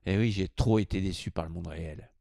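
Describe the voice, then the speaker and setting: Low-pitched man with a gravelly edge. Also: a little fast, warm tone, no room sound, clean studio sound. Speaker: host of a spirituality video. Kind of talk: informal video greeting, then scripted explanatory narration.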